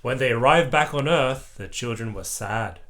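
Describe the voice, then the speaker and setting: A parent reading a children's story aloud to a child, in an animated way and acting out the dialogue. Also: medium pitch, normal speed.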